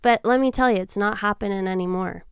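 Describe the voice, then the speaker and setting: A woman's voice: mid-range voice, quick pace, clean tone, no echo, studio quality. A woman in her thirties, a podcast guest telling dating stories in casual chat, laughing often. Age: 30s. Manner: giggly, storytelling.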